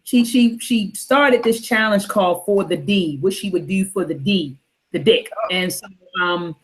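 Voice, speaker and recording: A woman's voice: medium pitch, unhurried, rough recording. Rambling woman hosting a book club. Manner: rambling, repeats herself. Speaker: host. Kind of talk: casual book club chat.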